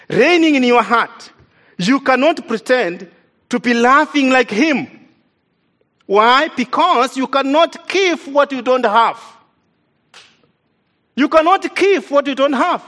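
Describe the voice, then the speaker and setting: A man's voice: very high-pitched, fairly bright tone. A man from East Africa, whose first language is not English, preaching a sermon in an earnest, emphatic way and repeating key lines for stress.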